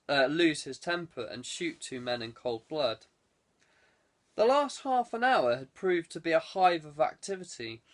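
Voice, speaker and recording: A man reading a thriller aloud as a scripted audiobook narration. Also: a little high.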